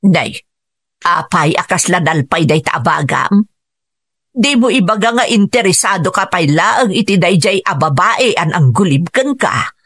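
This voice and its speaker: A woman's voice: lowish voice, animated, unhurried, fairly bright tone, breathy edge, no room sound, clean studio sound, heavily acted. An actress with a Filipino Ilocano accent playing an earnest woman in a rural family radio drama. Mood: concerned, probing.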